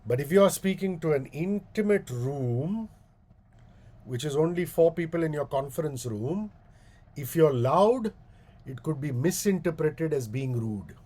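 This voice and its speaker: A man with an Indian English accent and a booming voice runs a public speaking session. He speaks very slowly, in a mellow, dark tone that sits a little higher than most men's. Each point comes out deliberately, often with long pauses. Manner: slow, deliberate delivery with long pauses and repeated demonstrations. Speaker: guest speaker. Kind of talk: public speaking workshop talk.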